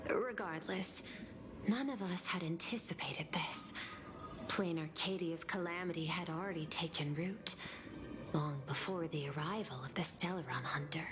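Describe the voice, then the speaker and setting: A woman playing a composed, formal administrator in a video game, speaking in a measured, analytical way. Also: low-pitched, background noise, natural voice. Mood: grave, analytical. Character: composed, formal female administrator of a threatened homeworld. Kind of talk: scripted video game dialogue.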